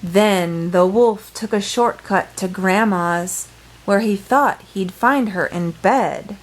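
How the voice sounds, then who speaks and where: A woman tells a children's story at an unhurried, slow pace. Her pitch sits in the middle for a woman, and she reads playfully and dramatically, with brief asides. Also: poor audio. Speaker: storyteller reading a picture book aloud. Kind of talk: expressive children's read-aloud with brief asides.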